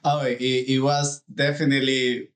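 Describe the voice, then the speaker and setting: A man's voice: mid-range voice, level tone, a little slow. A man from El Salvador in his thirties, a podcast guest talking about growing up there, meandering and enthusiastic. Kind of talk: casual interview answers.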